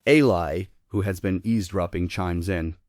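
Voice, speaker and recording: A man serves as narrator, reading stage directions in a scripted style. His voice is very low and recorded dry, without room sound. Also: normal speed, a little mellow, no room sound.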